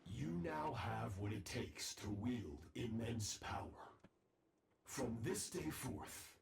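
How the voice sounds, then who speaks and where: A man voicing a stern older male master in a game, speaking in a grave, commanding way with a gravelly voice. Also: mid-range voice, takes it slowly, a little hiss or hum. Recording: echoey game audio with music.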